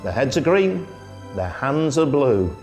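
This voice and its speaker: A man reciting a children's poem as a storyteller, in a theatrical, singsong way with drawn-out phrasing. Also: mid-range voice, big pitch swings, darkish tone, lo-fi sound, soft background music. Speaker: storyteller, radio presenter. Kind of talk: expressive children's poetry recitation.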